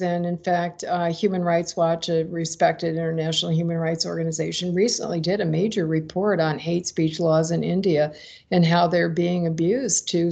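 Woman, fairly low voice, articulate interview guest who argues her points firmly. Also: gravelly, darkish tone, husky. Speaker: interview guest, free speech expert. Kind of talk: explanatory interview talk.

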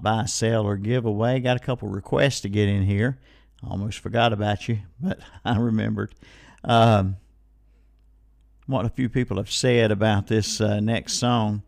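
Folksy male radio host with creaky voice. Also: fairly low pitch, no room sound, studio quality. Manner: folksy, rambling, playfully repeats himself. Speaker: radio host of a call-in swap shop and music show. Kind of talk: casual live radio hosting. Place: radio studio. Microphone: close studio microphone.

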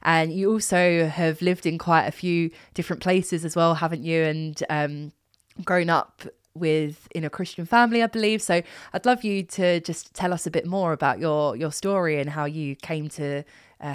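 A woman's voice: deep voice, steady tone, normal speed, clean tone, no echo, clean studio sound. A woman hosting a podcast in a conversational interview style, speaking in an effusive, encouraging way. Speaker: podcast host.

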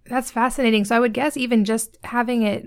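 A woman hosting a podcast interview, asking quick, friendly follow-up questions. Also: on the high side, steady tone. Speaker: podcast host.

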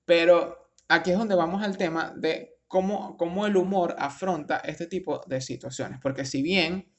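A man's voice: a little high, unhurried. A young Venezuelan man with a Venezuelan accent, casually commenting on a news article, rambling with restarts and slang. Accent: Venezuelan Spanish.

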